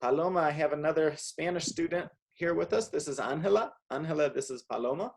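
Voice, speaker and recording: A woman hosting a language conversation class on a video call, encouraging the learners and gently correcting them. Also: sits low, brisk, creaky. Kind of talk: casual video call chat.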